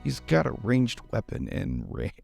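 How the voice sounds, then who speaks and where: A man's voice: medium pitch, wildly swooping pitch, unhurried, gravelly, a little mellow, breathy edge, no echo, soft background music. A man chatting casually as a player in a tabletop roleplaying game.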